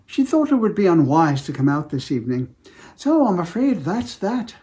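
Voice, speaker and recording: A woman playing a brisk, matter-of-fact male academic colleague in a dramatized novel reading. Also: on the low side, sing-song, dark tone. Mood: brisk, apologetic.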